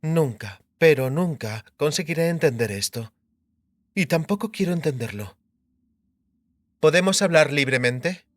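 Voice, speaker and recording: A commanding grown sorceress in an audiobook drama. The voice sits fairly high and moves in lively swings, yet stays measured and authoritative, recorded very dry. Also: male voice, dry recording. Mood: curt.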